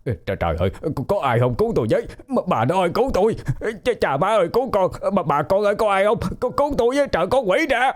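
A man's voice: sits high, very animated, quick pace, few pauses, pitched above the performer's own voice. A man voicing a cowardly, terrified villager in a horror story, stammering and wailing pleas in a Southern Vietnamese accent. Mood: desperate wailing. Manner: stammering, repeating words, wailing. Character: terrified drunken villager, older married man, cowardly and pleading.

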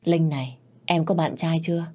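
A woman voicing a grieving young single mother who speaks softly and wearily. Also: deep voice, fairly even intonation, moderate pace. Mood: sorrowful.

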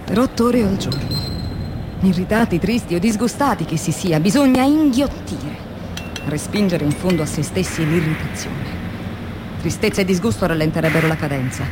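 An actress playing a young, tormented philosophy teacher turned factory worker, in a radio drama monologue with measured, deliberate phrasing and dramatic pauses. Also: female voice, on the low side, lively intonation, lightly acted. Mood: grave, stern. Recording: clearly noisy recording with ambient sound.

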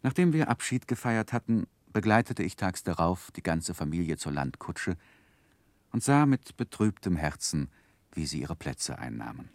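A deep voiced guy telling his boyhood memoir, reflective n earnest, lots of pitch movement, dry studio, old radio drama. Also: very animated, vocal fry, a little mellow, no echo, heavily acted. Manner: measured, reflective, literary storytelling. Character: earnest grown man narrating his own boyhood memoir. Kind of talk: scripted narration in a 1960s radio drama.

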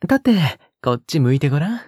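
Audio drama role: doting, controlling captor played by a woman, husky and breathy, swooping intonation, intimate teasing coaxing, close dry sound. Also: deep voice, big pitch swings, fairly quick, no room sound, heavily acted. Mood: doting, possessive. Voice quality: soft, breathy, often dropping to a whisper. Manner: coaxing, teasing, cooing as if to a child. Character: doting, possessive captor coaxing a pet-like captive.

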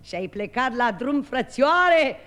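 Teasing tavern companion; high, lively mocking banter, 1950s radio play. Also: male voice, full character voice.

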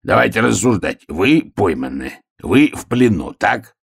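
A sly, menacing wolf from a children's storybook, acted out by a man. The voice is deep, husky and creaky. He draws out his words with wheedling, mock courtesy. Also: big pitch swings, heavily acted. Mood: smug, coaxing. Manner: unctuous, wheedling, drawn-out words. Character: sly, menacing male wolf, mockingly polite predator. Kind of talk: storybook character reading.

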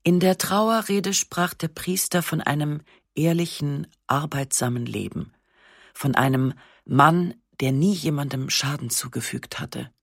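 A woman narrating a literary text in the first person, with a measured, restrained and sober delivery on a close studio microphone. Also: a bass voice, gravelly, husky, no room sound. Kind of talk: literary reading of a memoir-like novel.

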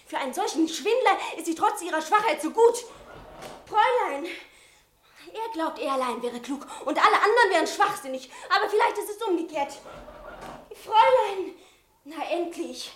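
An actress playing a hot-tempered, headstrong young sister in a German radio drama, impetuous and full of scorn. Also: female voice, extremely high pitch, expressive, normal speed, a bit bright. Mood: furious, scornful. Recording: roomy echo, faint noise.

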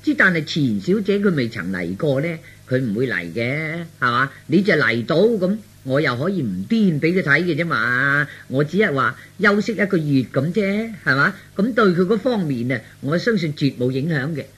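Guy playing young schemer son, husky-ish, hesitant and wheedling, explaining himself, radio play. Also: fairly high pitch, sing-song, normal speed, hardly any pauses, fairly bright tone, full character voice. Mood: earnest persuading. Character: scheming, earnest young son pleading with his elders. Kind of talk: Cantonese radio play storytelling.

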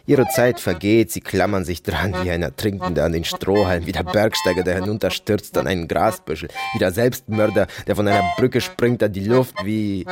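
An actor playing a detached, haunted man confessing to a shooting in a radio drama monologue, in deliberate, clipped phrases. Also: sits low, close to an everyday voice. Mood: bitter, reflective. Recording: music behind the voice.

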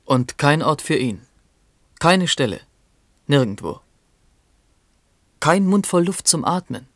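An actor playing a bleak, questioning voice of despair in a poetic radio drama, intense and fragmentary. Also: male voice, on the high side, very animated, takes it slowly, exaggerated voice.

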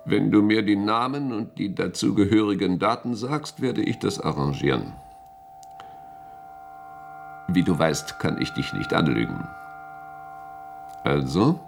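An actor playing a commanding, world-weary head of internal security in a German radio drama, forceful and deliberate in argument. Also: male voice, deep voice, sing-song, gravelly, faint music behind, exaggerated voice. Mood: coaxing.

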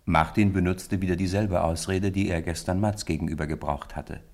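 A man's voice: deep voice, steady tone, normal speed. A man giving scripted literary narration as the storyteller of a radio play.

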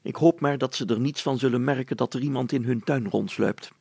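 A man's voice: mid-range voice, a little fast, vocal fry, darkish tone, husky, dry recording. A man voicing a fussy, self-important older village mayor in a storybook, insistent and exclamatory. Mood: worried.

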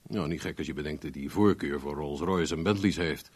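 A man's voice: very deep voice, fairly quick, breathy edge. A man acting a blunt, needling gym owner and fixer in a Dutch radio drama, mocking and persuasive. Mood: matter-of-fact, pushy. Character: blunt, needling male gym owner and car dealer friend.

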